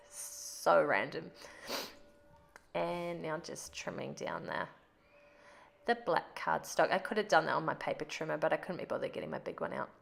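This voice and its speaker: Stuffed up woman rambling over a craft video, low-ish gravelly voice, chatty. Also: lively intonation, moderate pace, long pauses. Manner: chatty and rambling, laughs often. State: stuffed up from a cold. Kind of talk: casual voice-over narration.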